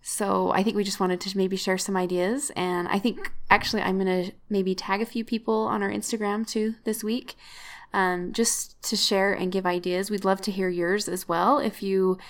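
A woman's voice: mid-range voice, level tone, moderate pace. Chatty upbeat woman co-host. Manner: chatty, upbeat, laughs easily. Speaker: podcast co-host. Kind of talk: casual chat.

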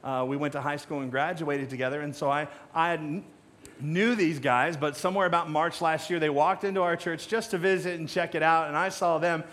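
A middle-aged American man with a slightly high voice addresses his church as its pastor. He talks informally and conversationally. He leans on anecdotes and small jokes. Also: fairly even intonation, fairly quick, a bit bright. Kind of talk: informal sermon talk to congregation. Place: church stage.

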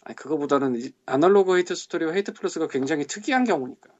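A man's voice: a little high, lively intonation, rapid-fire, darkish tone. A man on a casual podcast, venting in an exasperated, grumbling way and muttering curses. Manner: exasperated, grumbling, swears under breath. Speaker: podcast co-host, game translator. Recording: lo-fi audio.

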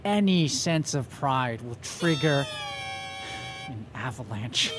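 A man's voice: medium pitch, big pitch swings, slow, music in the background. A man playing a stressed, self-deprecating young American in an audio drama, with a wry manner.

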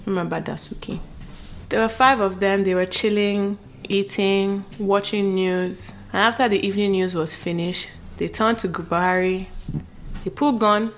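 A woman's voice: medium pitch, fairly even intonation, normal speed, slight background noise. A woman with a Nigerian accent hosting a quiz in casual, teasing storytelling, with dramatic emphasis. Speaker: trivia quiz host.

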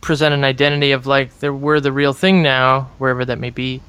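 A man's voice: medium pitch, steady tone, a little fast, a bit bright, smooth. A man in a casual conversation, making earnest, opinionated points and searching for words as he goes.

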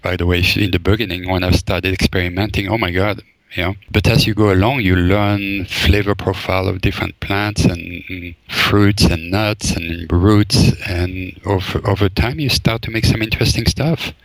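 A man's voice: sits low, steady tone, moderate pace, no room sound, rough recording. A man from Belgium, a forager and chef, answering interview questions thoughtfully, with many fillers and restarts. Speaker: forager and wild food chef, guest.

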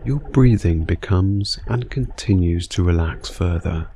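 Very slow, deep-voiced man guiding meditation. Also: slight background noise. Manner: soothing, unhurried, hushed delivery with long pauses. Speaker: guided meditation narrator. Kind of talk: guided sleep meditation, scripted narration.

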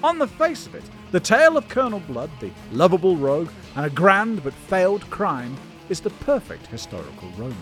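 A man's voice: sits high, wildly swooping pitch, a little fast, hardly any pauses, soft background music. A British man co-hosting a silly history comedy podcast, with playful, mock-grand scripted narration.

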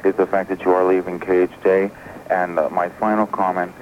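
A man playing a mock-important prankster commentator in a comic radio phone-in, halting and deadpan. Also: sits low, lightly acted. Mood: deadpan, solemn. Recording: dull lo-fi radio aircheck with hiss.

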